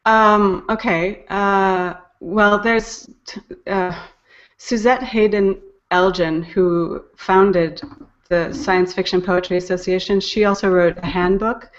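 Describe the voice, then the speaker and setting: A woman's voice: medium pitch, fairly even intonation, slow, dull audio. A woman talking casually as an interview guest, hesitant and thinking aloud as she goes. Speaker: guest, poetry podcast editor and narrator.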